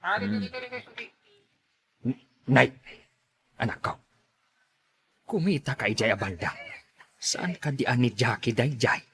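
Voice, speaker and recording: A man acts out a blunt old father in an Ilocano radio drama. His voice is husky and bright, swinging up and down in pitch. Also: big pitch swings, takes it slowly, pauses often, exaggerated voice. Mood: disapproving.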